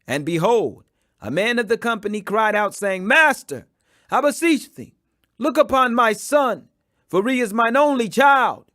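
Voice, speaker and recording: Guy reading the bible out loud, high voice that swings all over, pushes hard on the quotes. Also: expressive, a little slow, no room sound, clean studio sound. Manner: emphatic, rising on quoted speech. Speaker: Bible reader. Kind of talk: scripture reading aloud.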